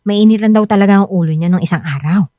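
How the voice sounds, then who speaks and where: A woman's voice: mid-range voice, fast talker, warm tone, no room sound, lightly acted. An actress in a radio drama playing a worried, supportive wife who pleads with and reassures her husband. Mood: earnest, placating.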